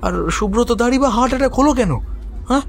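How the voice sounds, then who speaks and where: A man's voice: extremely high pitch, very fast, a little hiss or hum. A man playing a scheming, sarcastic young local fellow in an audio horror story, questioning and scoffing in colloquial speech. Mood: uneasy, puzzled. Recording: faint music behind the voice. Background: faint music.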